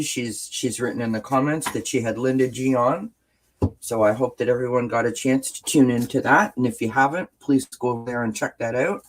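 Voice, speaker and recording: A woman hosting a casual livestream from home with a stuffed-up nose, greeting viewers in the chat by name with pet names and often pausing on filler words. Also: a bass voice, poor audio. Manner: frequent filler words and restarts. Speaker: livestream host. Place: indoors at home, lights off, sunny window behind.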